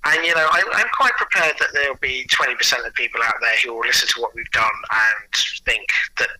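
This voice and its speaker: A man being interviewed, chatting casually through anecdotes in a halting way, often repeating words as he starts a sentence. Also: medium pitch, bright, forceful tone, dry recording, low-quality recording. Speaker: interview guest, audio producer and writer.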